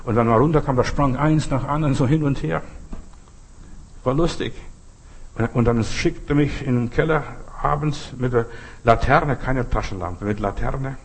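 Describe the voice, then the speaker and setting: Husky-voiced male preacher, exhorting. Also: mid-range voice, normal speed, low-quality recording. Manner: exhorting, repetitive, folksy, often addressing the listener directly. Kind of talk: sermon, spoken freely with short imperatives and anecdotes.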